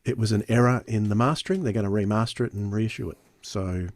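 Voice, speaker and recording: A man with a lowish voice chats casually as a podcast reviewer. He repeats words as he thinks, and the sound is close and dry. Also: fast talker, a little mellow, no room sound.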